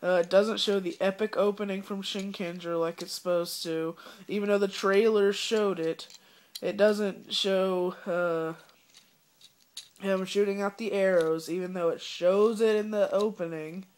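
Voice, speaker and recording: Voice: medium pitch, steady tone, measured pace. Sick young fan reviewer, coughing. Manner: rambling, disappointed, many fillers. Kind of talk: casual spoken review.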